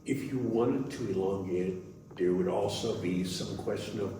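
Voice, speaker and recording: A man's voice: on the low side, moderate pace. A man in an audience offering casual suggestions and feedback after a show. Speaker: audience member suggesting ideas. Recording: very echoey room, distant, faint noise.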